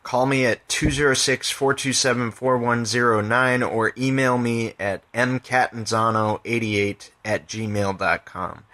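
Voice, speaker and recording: A man hosting his own poetry podcast, reading his poems and talking about them in an informal, candid, halting way. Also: medium pitch, fairly even intonation, bright, forceful tone. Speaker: podcast host and poet.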